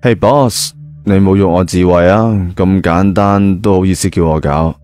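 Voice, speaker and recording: A man's voice: low voice, fairly even intonation, normal speed, a little mellow, close to an everyday voice. A man voicing a cocky young genius hacker in an audio story, boastful, in Hong Kong Cantonese mixed with English.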